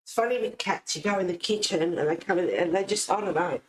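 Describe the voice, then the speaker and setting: A man's voice: sits high, fast, roomy sound, slight background noise. A man chatting casually and informally while colouring, rambling and thinking aloud as he goes. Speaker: host of a colouring channel.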